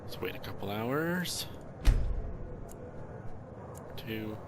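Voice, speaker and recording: A man's voice: lowish voice, expressive, a little slow, heavy background noise. A man hosting a let's play, giving casual commentary and muttering to himself as he plays. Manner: muttering to himself while playing. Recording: loud game music under voice.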